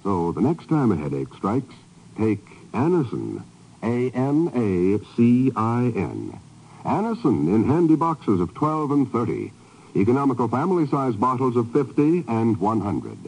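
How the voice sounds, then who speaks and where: Vintage male radio announcer, husky and mellow, animated scripted delivery in 1950s broadcast style. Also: medium pitch, a little slow, poor audio.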